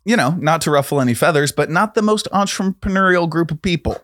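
A man's voice: fairly high pitch, animated, quick pace, fairly bright tone, dry recording, studio quality. A man hosting a podcast interview, chatty and joking, laughing easily with his guest. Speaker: podcast host. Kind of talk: casual interview chat.

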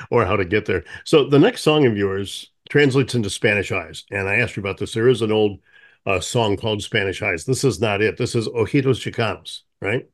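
Relaxed male podcast host, low voice. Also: normal speed. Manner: relaxed, anecdotal, with fillers and laughs. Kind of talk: casual interview chat.

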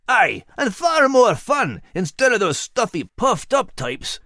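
A scrappy critter, performed by a male narrator, talks bluntly and with folksy good nature. The voice is lively and bouncy, storybook style, recorded dry. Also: high voice, a little slow, no echo, pitched above the performer's own voice, full character voice. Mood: amused, curious.

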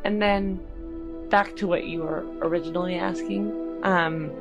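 A woman's voice: lowish voice, fairly even intonation, a little slow, vocal fry. A woman playing a tabletop game, casually describing her character's choices in the first person. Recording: prominent music under the voice. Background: background music.

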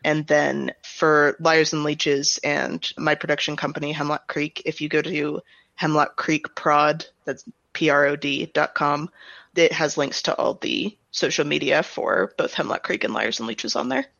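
A woman chats casually as an interview guest. Her voice is bright and forward, with plenty of vocal fry, and it comes through a slightly dull, lo-fi recording. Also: low-pitched, a little slow, dry recording. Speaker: interview guest, audio drama director. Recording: dull, band-limited remote recording.

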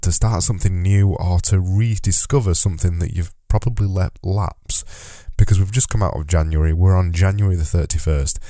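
A man's voice: deep voice, warm tone, dry recording, clean studio sound. A man hosting his own podcast in a solo monologue, with a slightly nasal voice, talking earnestly and repeating words for emphasis. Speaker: podcast host. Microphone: close podcast mic.

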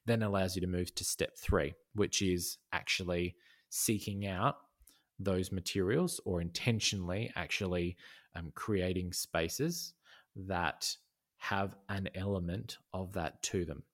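A man's voice: deep voice, measured pace, fairly bright tone. A man talking as a podcast guest in a casual conversation, thoughtful, with many fillers and restarts. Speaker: podcast guest, design educator.

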